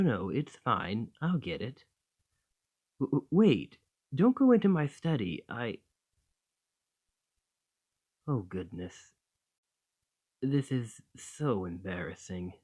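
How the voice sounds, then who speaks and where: A man voicing a gentle, bashful dragon in a romantic ASMR roleplay, tender and hesitant, doting on a partner. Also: mid-range voice, narrow, tinny audio. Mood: reassuring, doting. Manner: flustered, hesitant, tender, with sighs and stammers.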